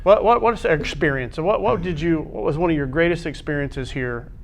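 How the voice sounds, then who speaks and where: A man's voice: fairly high pitch, brisk, vocal fry. A man with an American accent hosting a casual, conversational interview. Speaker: interview host, athletic administrator.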